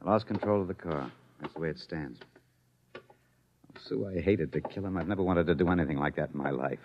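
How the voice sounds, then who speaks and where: Deep-voiced, desperate fugitive husband. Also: male voice, a little fast, muffled recording, noisy background. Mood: subdued, remorseful. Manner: earnest, grave, urgent. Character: desperate, protective scientist husband, grimly resolute fugitive. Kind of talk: 1950s radio drama acting. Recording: vintage 1950s radio recording.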